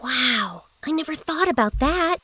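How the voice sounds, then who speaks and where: A woman's voice: high-pitched, lively intonation, measured pace, sharp tone, exaggerated voice. A woman playing a caring, teasing young girl in a children's audio drama, comforting and playful. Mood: excited.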